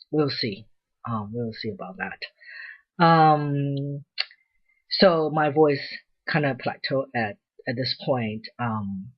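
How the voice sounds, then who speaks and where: A man giving a casual personal video update, talking hesitantly with many pauses, ums and restarts. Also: medium pitch, breathy edge, lo-fi sound. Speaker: vlogger.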